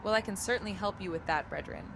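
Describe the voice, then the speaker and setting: A synthetic female text-to-speech voice reading viewer messages and its own overly formal replies in a flat way. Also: medium pitch, fast, background noise.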